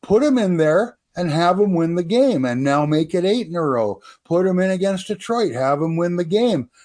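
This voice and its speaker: A man's voice: high voice, lively intonation, normal speed, a little mellow. A man giving his opinions as a commentator in a casual, folksy sports radio discussion. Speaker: sports writer and commentator guest.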